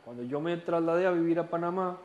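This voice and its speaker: A man with a Costa Rican accent teaching a recorded Bible study lesson, explaining calmly and posing rhetorical questions. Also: fairly high pitch, fast, muffled recording. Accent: Costa Rican Spanish. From Costa Rica. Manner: calm explaining, rhetorical questions, occasional restarts.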